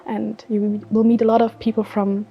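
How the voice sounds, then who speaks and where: A woman's voice: fairly high pitch, little pitch movement, moderate pace, creaky, husky, highs cut off. A young woman from Germany, a master's student, speaks in a hesitant, unscripted way with many fillers and restarts. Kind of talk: unscripted testimonial.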